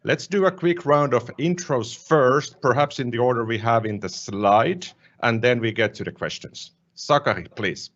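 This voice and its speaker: A man moderating an online panel over a video call in a friendly, measured way. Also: mid-range voice, fairly bright tone. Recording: muffled video-call audio.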